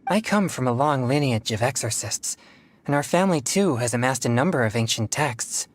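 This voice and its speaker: A man's voice: mid-range voice, lively intonation, moderate pace, dry recording, natural voice. A man voicing a polite, earnest young exorcist in a game cutscene. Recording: game cutscene with faint music.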